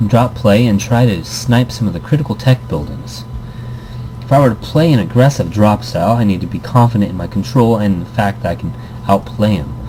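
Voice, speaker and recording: Mellow-voiced man playing and reading a game. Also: fairly low pitch, slight background noise, poor audio. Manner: stumbles and repeats words while reading. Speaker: gaming video host. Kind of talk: casual let's play, reading visual novel text aloud with commentary.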